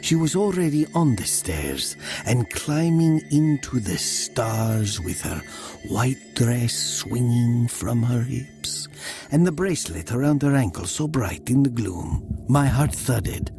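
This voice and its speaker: An actor playing a brooding, jealous young man, narrating his past in a slow, hushed audio drama style. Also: mid-range voice, lively intonation. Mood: brooding, haunted. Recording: music behind the voice.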